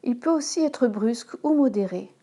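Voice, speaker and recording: A woman yoga instructor leading a guided relaxation in a calm, measured manner, giving instructions in short phrases. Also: on the high side. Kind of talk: slow guided relaxation meditation.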